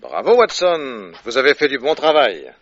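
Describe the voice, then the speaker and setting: An actor playing a shrewd, self-assured, playful detective, explaining things theatrically in a French radio drama. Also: male voice, medium pitch, wildly swooping pitch, moderate pace, no echo. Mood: confident, triumphant. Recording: dull, dry old radio recording.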